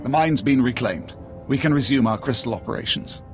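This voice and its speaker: Casting: male actor as haughty, impatient military commander, clipped and authoritative, medium pitch, sci-fi game with faint score underneath. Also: brisk, music in the background, close to an everyday voice. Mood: briskly commanding. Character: brusque, commanding Imperial military officer, proud and impatient. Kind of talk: video game cutscene acting.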